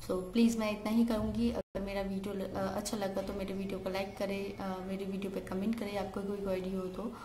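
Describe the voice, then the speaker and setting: A woman's voice: medium pitch, little pitch movement, a little fast, hardly any pauses. Hesitant young woman in an echoey room. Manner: hesitant, many "uh" fillers, mixes English words. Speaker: salon owner. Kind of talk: casual talk to camera. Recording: echoey room, faint background hiss.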